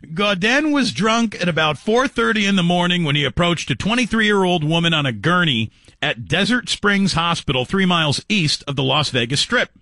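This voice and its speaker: Bright, forceful male sports radio host. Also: high voice, animated, moderate pace, dry recording. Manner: mocking, incredulous, jokey. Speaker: radio talk show host. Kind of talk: sports talk radio commentary.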